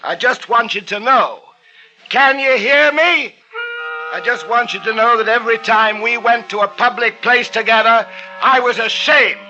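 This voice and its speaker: An actor playing a cold, vain middle-aged poisoner, who pleads softly and then gloats cruelly, in a 1940s radio drama. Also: male voice, very high-pitched, normal speed, soft background music, full character voice. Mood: gloating. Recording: muffled old radio recording with faint hiss.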